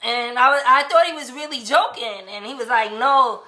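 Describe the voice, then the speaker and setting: A woman's voice: fairly high pitch, normal speed, bright, forceful tone. A woman telling a personal story in casual conversation, acting out quoted dialogue as she goes. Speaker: vlog host telling relationship stories. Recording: echoey untreated room.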